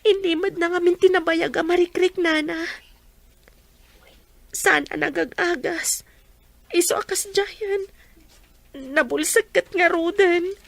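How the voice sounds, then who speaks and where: A woman's voice: very high-pitched, slow pace, gravelly, pauses often, dry recording, exaggerated voice. A woman playing a young student daughter, earnest and pleading as she talks to her blinded mother. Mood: downcast. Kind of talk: radio drama acting.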